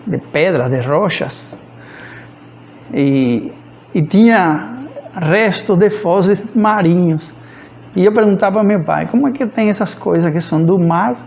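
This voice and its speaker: A man's voice: on the high side, creaky, darkish tone, lo-fi sound, slight background noise. A man of about fifty from rural Cuba with a Cuban accent, a native Spanish speaker, telling his life story informally and hesitantly, searching for words. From rural central Cuba. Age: around 50. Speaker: physics professor. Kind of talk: informal autobiographical account.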